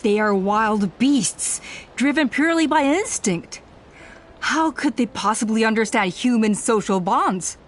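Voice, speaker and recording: An actress playing a proud, self-righteous clan elder woman in a video game, speaking in measured, formal, persuasive speeches. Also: fairly high pitch, animated, a little hiss or hum. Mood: scornful. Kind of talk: scripted video game voice acting. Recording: music under the voice.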